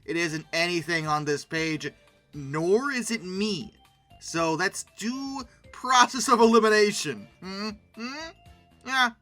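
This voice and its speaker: Bright, animated, sarcastic commentator. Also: mid-range voice, slow, soft background music. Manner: sarcastic, theatrical, breaking into mock outbursts. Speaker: YouTube host reviewing old dinosaur art. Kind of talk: casual humorous commentary.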